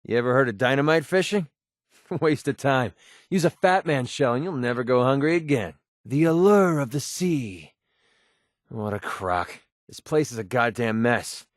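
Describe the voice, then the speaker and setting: A man's voice: medium pitch, animated, normal speed, no echo, studio quality, lightly acted. An actor playing a cynical young mercenary gunman in a video game, talking in a casual, sardonic, world-weary way. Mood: wry, grumbling. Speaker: game companion character. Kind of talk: scripted video game dialogue.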